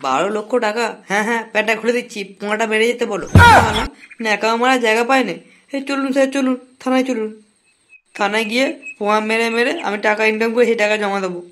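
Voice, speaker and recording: Comic cartoon casting: male actor as hapless jilted young man, high-pitched, whiny, sarcastic, crude-mouthed. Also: very noisy, lightly acted. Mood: defiant, angry. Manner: whining, sarcastic, drawn-out lamenting. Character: wronged young lovelorn man, foul-mouthed, whiny, jailed. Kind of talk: comic cartoon dialogue in colloquial Bengali slang.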